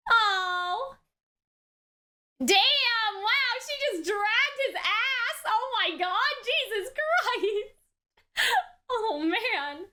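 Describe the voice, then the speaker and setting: High, bright-voiced woman reacting excitedly. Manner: animated, exclamatory, slangy. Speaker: reaction video host. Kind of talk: casual excited reaction commentary.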